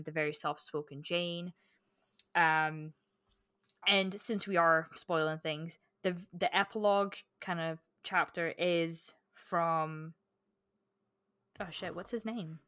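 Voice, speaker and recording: A woman's voice: sits low, pushed and bright, clean tone, no room sound, studio quality. A woman co-hosting a podcast in a casual book chat, talking candidly with swearing and frequent self-interruption. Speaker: podcast co-host.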